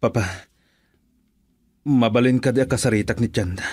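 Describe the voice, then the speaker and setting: A man's voice: mid-range voice, normal speed, darkish tone, breathy edge, no echo, natural voice. A man with a Filipino accent acting a contrite young suitor in a radio drama, subdued and pleading.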